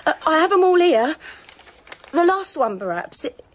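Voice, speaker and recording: An actress playing an anxious, deferential working-class Victorian wife in a radio drama, apologetic and hesitant. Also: female voice, high-pitched, sing-song, moderate pace. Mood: uneasy.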